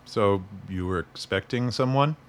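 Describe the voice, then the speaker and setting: An actor playing a calm safehouse keeper who questions a visitor carefully. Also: male voice, on the low side, a little slow, natural voice. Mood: probing. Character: calm, probing man running a safehouse. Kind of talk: radio play acting.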